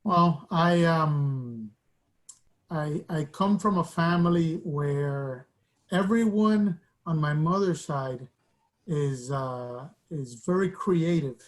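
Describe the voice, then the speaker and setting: A man's voice: a little high, slow. A man from Miami, a guest artist, telling his story in a reflective, rambling way with many restarts. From Miami, United States. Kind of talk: casual interview storytelling.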